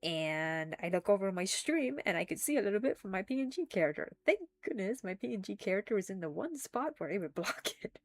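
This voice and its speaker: A woman's voice: medium pitch, sing-song, fairly quick, few pauses, no room sound. A young woman streaming live, talking casually and rambling with frequent restarts and giggles, a little congested.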